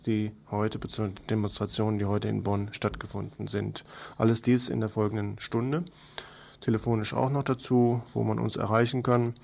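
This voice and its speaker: A man's voice: lowish voice, creaky, darkish tone. A man presenting an informal radio news overview, part read and part improvised, addressing listeners familiarly.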